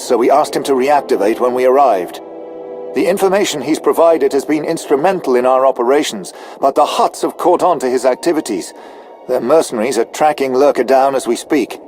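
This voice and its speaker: A man's voice: mid-range voice, a little mellow, natural voice. Formal, eager alien military officer played by a man. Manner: formal, deferential, precise. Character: non-human military officer, anxious, deferential, eager to prove loyalty. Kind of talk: scripted video game dialogue.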